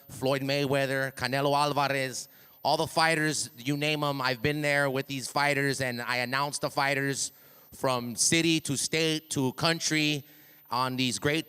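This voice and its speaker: A loud middle-aged man from East Los Angeles speaks to students as a hype man, his voice resonant and clear. He repeats and restarts words as he goes, talking into a handheld mic. The long reverb of a big school hall hangs behind every word. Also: medium pitch. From East Los Angeles, USA. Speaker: ring announcer speaking at a school assembly. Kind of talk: motivational speech to students. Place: school auditorium.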